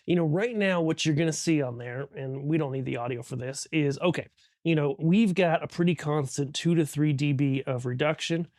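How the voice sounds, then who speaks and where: A man's voice: fairly high pitch, moderate pace, darkish tone, no echo, studio quality. A man explaining things as an audio instructor in an informal, conversational tutorial, thinking aloud as he goes.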